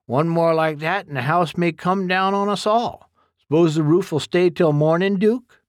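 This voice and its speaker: Man doing a dry country wife, sardonic, slightly raised pitch, close and dry. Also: normal speed, dry recording, natural voice. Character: deadpan rural wife, dry and unbothered.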